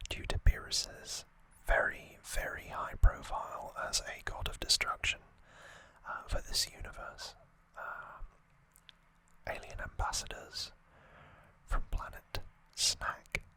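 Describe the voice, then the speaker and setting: An ASMR host speaking in a breathy whisper, giving a soft, unhurried, casual recap with conversational asides. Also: no room sound, low-quality recording.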